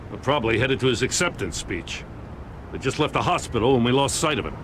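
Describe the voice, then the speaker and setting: A man's voice: medium pitch, lively intonation, fast, a bit bright, lightly acted. An actor voicing a weary, earnest middle-aged male police commissioner in a video game. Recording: game audio with background noise.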